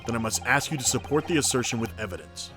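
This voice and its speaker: A stern male judge, acted by a man in a courtroom game dub. He speaks formally and with authority, as one presiding over a trial. Music is clearly audible behind him. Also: mid-range voice, lively intonation, quick pace, lightly acted. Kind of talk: dramatic video game dub. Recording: music under the voice.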